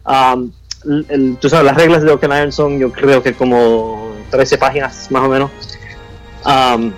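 A man who grew up speaking Spanish at home and now mostly speaks English, chatting as a game designer guest and often pausing to search for words. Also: mid-range voice, level tone, soft background music, poor audio. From United States, Cuban family. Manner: halting, searching for Spanish words, many fillers. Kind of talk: casual interview chat.

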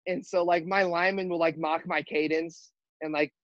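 A teenage high school quarterback with a high-pitched voice, answering interview questions earnestly with many fillers and restarts. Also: male voice, little pitch movement, a bit bright, no room sound. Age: teens. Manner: halting, filler-heavy, earnest. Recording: dull, muffled audio.

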